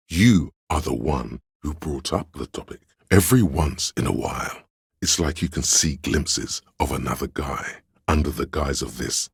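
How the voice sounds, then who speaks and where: A man voicing a sly, flattering inner voice in a video game, with theatrical, courtly, old-fashioned diction and a gravelly, husky bass. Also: no room sound, clean studio sound, heavily acted. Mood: knowing, measured, then suspicious, confiding. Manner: grandiose, archaic courtly diction, conspiratorial. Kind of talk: scripted video game voice acting, mock-Shakespearean.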